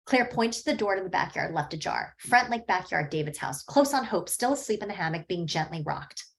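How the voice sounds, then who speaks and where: A woman reading screenplay stage directions aloud in a plain, even way at a table read. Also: fairly low pitch, brisk, creaky.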